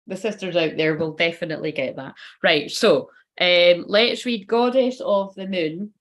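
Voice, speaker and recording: A woman's voice: fairly low pitch, normal speed. A woman giving a casual tarot card reading. She rambles loosely and swears offhandedly.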